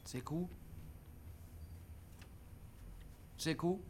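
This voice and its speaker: A man's voice: on the high side, moderate pace, close to an everyday voice. Guy acting lonely twenties cb radio dreamer dude, boastful, defiant, pushy, radio play. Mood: lonely, searching. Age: 20s. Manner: boastful, insistent.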